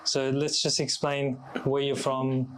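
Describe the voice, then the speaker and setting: A man's voice: medium pitch, completely flat delivery, measured pace, fairly bright tone, poor audio. A man hosting a podcast in a casual, conversational way. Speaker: podcast host. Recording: clear background noise and ambience.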